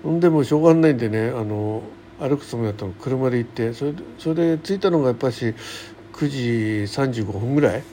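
Mellow middle-aged man, casual radio chat. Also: mid-range voice, lively intonation, quick pace. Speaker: radio show host. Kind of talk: casual solo chat, rambling daily-life anecdotes. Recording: faint background noise.

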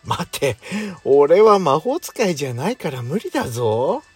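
A man playing a calm, indulgent boyfriend with a dry, gentle manner in an intimate bedtime audio drama. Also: on the high side, a little slow, husky, no echo, faint music behind, exaggerated voice. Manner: gentle, dry, masculine wording. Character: gruff but doting male lover, calm and indulgent.

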